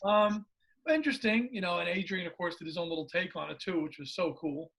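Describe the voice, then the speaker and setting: Man, co-host, high voice, husky, blunt casual opinions. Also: fast talker, fairly bright tone. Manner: candid, blunt opinions with fillers. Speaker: podcast co-host. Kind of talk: casual podcast chat.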